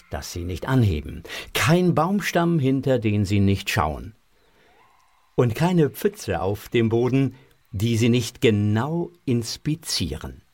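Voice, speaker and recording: A man narrating a children's audio drama as an expressive, deliberate storyteller. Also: mid-range voice.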